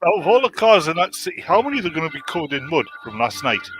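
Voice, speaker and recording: Brief: male co-host riffing, fairly high voice with wild pitch swings, crude and mock-dramatic. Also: big pitch swings, fast, over music, poor audio. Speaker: co-host of a listen-along stream. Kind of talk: riffing commentary over an old radio show.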